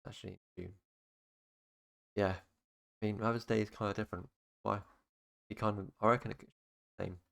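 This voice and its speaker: A man's voice: on the low side, steady tone, moderate pace, gravelly, pauses often, fairly bright tone. A young British man, talking casually to camera and rambling through facts, with many fillers and restarts. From United Kingdom. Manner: rambling, full of fillers like "obviously" and "basically". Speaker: YouTuber. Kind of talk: casual explainer monologue.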